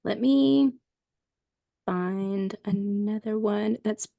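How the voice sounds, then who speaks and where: A woman's voice: medium pitch, extremely slow, gravelly, dark tone, no room sound. A woman co-hosting a casual podcast game, muttering clues to herself as she thinks. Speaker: podcast co-host. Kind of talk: casual chat, guessing game.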